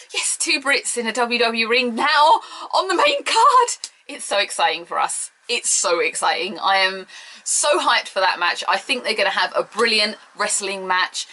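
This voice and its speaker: A young British woman chatting excitedly to camera, giving opinionated and playfully teasing wrestling predictions. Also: medium pitch. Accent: British English. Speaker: wrestling preview vlogger. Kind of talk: casual opinionated chat to camera.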